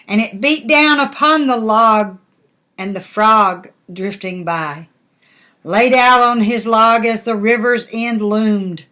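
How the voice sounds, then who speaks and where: A woman's voice: mid-range voice, bright, forceful tone. An older woman, a grandmother, reading a storybook aloud with folksy, chatty asides and questions to the listener.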